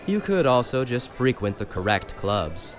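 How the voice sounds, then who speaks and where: An actor playing a droll, sardonic young gentleman in an audio drama, with a dry, sarcastic manner. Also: mid-range voice, big pitch swings, unhurried, soft background music.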